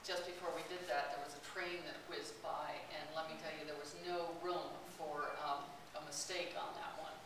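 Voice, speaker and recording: A woman's voice: sits low, steady tone, moderate pace, few pauses. A woman giving a chatty talk to an audience about a long walk, often laughing as she tells stories. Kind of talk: personal travel talk to an audience. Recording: distant, roomy, hissy recording.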